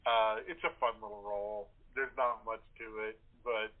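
A man giving a casual interview with a halting delivery and some chuckles, over a tinny telephone line. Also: on the low side, fairly even intonation. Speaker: writer and actor, interview guest.

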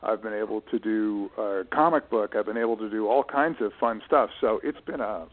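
A middle-aged or older man answering interview questions conversationally, reflective and halting, leaning on key words. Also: on the low side. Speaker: interview guest, author and producer. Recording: narrow tinny phone line.

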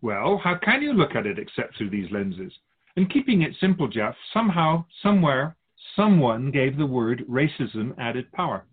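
An actor playing an otherworldly keeper of souls in a radio drama, patiently teaching humans in a measured, all-knowing way. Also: male voice, mid-range voice, sing-song, slightly rough. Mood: earnest, instructive. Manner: didactic, measured explaining, speaks of humans as outsider. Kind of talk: scripted radio drama dialogue. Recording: dull phone-like narrow sound.

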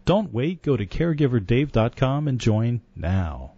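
A man's voice: mid-range voice, very animated, moderate pace, creaky, dark tone, no echo, muffled recording. A man reading scripted promos with emphatic, list-like delivery. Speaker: ad announcer.

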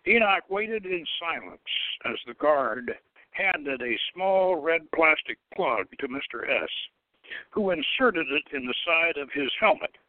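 A man's voice: fairly high pitch, animated, unhurried, no echo. A man, a show host, reading a novel aloud as dramatic scripted narration. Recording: narrowband radio-like sound, dry.

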